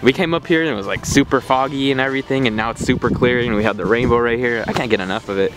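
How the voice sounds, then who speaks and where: A man's voice: medium pitch, racing, over music, poor audio. Laid-back young man vlogging on a trail. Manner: laid-back, slangy, rambling. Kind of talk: casual hiking vlog narration. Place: outdoor hillside trail.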